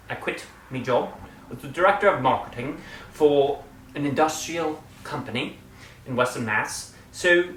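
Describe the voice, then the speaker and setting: A man talks to his channel in a casual, playful update and hams it up, swinging from loud bursts to quiet asides. He sits some way off the microphone, so the voice comes through distant. The room adds an echo, with a faint hum of room noise underneath. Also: mid-range voice. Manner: playful, theatrical, jumps between loud and quiet; slips into a put-on British-style accent at times. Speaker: channel host.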